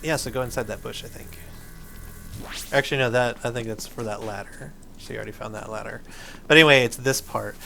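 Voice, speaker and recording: A man's voice: mid-range voice, fairly quick, creaky, bright, forceful tone, slight background noise. A man hosting a gaming video, explaining things patiently in a playful, conversational way. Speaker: host of a family gaming video. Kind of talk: casual chat over gameplay. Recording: game music behind the voice. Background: video game music.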